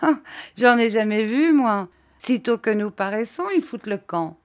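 A woman's voice: on the high side, expressive, normal speed, pitched above the performer's own voice, lightly acted. A woman voicing a young, arrogant male Prussian officer who mocks and boasts in a story reading. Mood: mocking. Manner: sneering, laughing, boastful.

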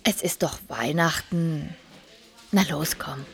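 A woman's voice: deep voice, slow pace, gravelly, over loud music, exaggerated voice. A woman playing a restless, persuasive wife in a radio drama. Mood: coaxing. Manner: persuasive, insistent. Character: coaxing, restless wife out drinking at Christmas.

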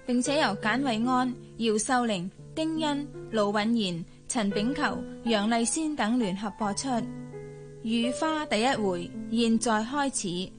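Woman announcer, Hong Kong Cantonese accent, slightly high pitch, scripted credits read, clear music backing. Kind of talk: scripted radio drama announcement.

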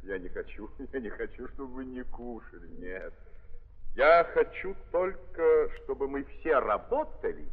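An actor playing an earnest, idealistic prince, courteous but halting and declamatory, in the manner of 1930s Russian radio theatre. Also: male voice, mid-range voice, expressive, slightly rough. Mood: impassioned. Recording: muffled 1930s recording, long hall reverb.

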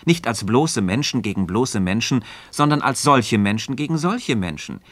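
An actor playing an earnest philosopher in a literary radio dialogue, speaking formally and didactically, building each point with emphasis. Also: male voice, medium pitch, expressive, moderate pace, fairly bright tone, no room sound. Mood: insistent, earnest. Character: earnest, insistent male philosopher leading a Socratic dialogue. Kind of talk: German literary radio play dialogue, formal stage diction.

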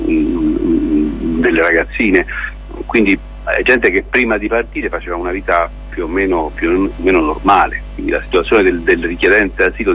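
A man answering as a theatre director in a radio interview, explaining in a halting, deliberate way with pauses and repeated words. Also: sits low, a bit bright. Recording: muffled, lo-fi radio interview with noise.

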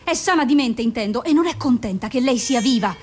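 Actress as grave, high-voiced female doctor. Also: expressive, a little mellow, natural voice. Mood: blunt, insistent. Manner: measured, insistent, bluntly direct. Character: grave, firm female forensic doctor, urgent and controlled. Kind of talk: Italian radio play acting.

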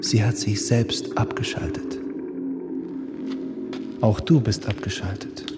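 An actor playing a brooding man who muses in a solemn, hushed, lingering radio play monologue. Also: fairly low pitch, little pitch movement, slow. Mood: tender, mournful. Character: brooding man musing over sleeping humanity. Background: music.

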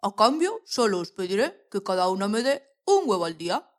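Woman playing a sly, lively sweet-talking farmer. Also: medium pitch, moderate pace, close to an everyday voice. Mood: friendly, coaxing. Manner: ingratiating, salesman-like. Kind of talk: children's audio story acting.

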